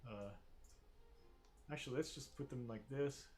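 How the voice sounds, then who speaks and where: A man's voice: medium pitch, unhurried, darkish tone, faint music behind. A man narrating a tabletop game as game master, rambling with many fillers and restarts.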